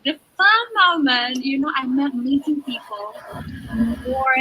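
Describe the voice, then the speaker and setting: A young woman with an Indonesian accent, a pageant contestant giving earnest, halting answers in an interview. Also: high-pitched, lively intonation, sharp tone, slight background noise, low-quality recording. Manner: earnest, halting non-native English.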